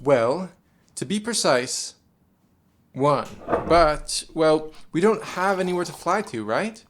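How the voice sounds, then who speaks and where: A nervous young moon-station technician in an audio drama. His voice sits a little high and jumps about as he hesitates and gets exasperated, with some faint noise behind it. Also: expressive, slow pace. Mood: nervous, hesitant.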